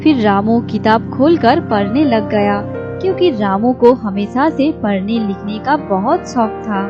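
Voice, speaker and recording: A woman giving scripted narration for a children's animated story. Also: fairly high pitch, measured pace, dull audio. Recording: music behind the narration.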